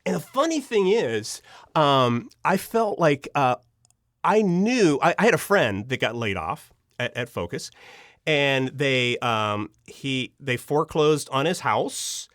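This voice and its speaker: A man telling personal stories as an interview guest, casual and animated, acting out reactions as he goes. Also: mid-range voice, slow pace, dry recording, studio quality. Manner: animated, acts out reactions and dialogue. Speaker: interview guest, audio drama writer and producer.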